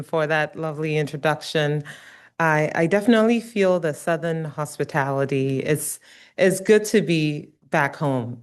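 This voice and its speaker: A middle-aged woman from Ghana delivering a lecture. Her voice sits low and comes through clean and resonant. She talks earnestly, often pausing on "um" and "uh" as she goes. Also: clean tone. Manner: warm, earnest, with frequent um and uh fillers. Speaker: guest lecturer, nursing researcher. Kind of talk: academic lecture.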